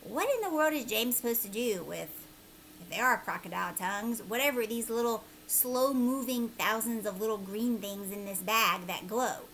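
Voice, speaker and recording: A woman teacher doing a children's read-aloud, expressive and conversational, often putting questions to her listeners. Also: on the high side, normal speed, slight background noise, rough recording.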